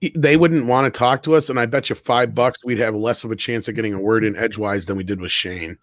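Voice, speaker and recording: A man's voice: mid-range voice, steady tone, quick pace, no echo. A man giving casual, opinionated commentary as a podcast panelist.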